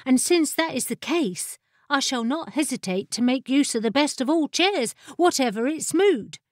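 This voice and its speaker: A woman's voice: sits high, lively intonation, normal speed, no echo, studio quality, voice pushed up. A woman voicing a headstrong, inquisitive female fire creature in a storybook, pert and formally articulate. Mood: indignant.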